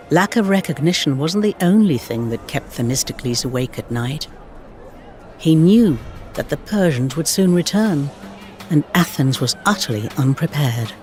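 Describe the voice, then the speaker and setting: A man narrating history for game cutscenes as a measured, dramatic storyteller with wry asides. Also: medium pitch. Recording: music under the voice. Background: background music.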